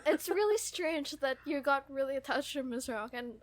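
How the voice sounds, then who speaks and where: A woman's voice: sits high, normal speed, no echo. A woman co-hosting a podcast, chatting casually and gushing as a fan. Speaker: podcast co-host.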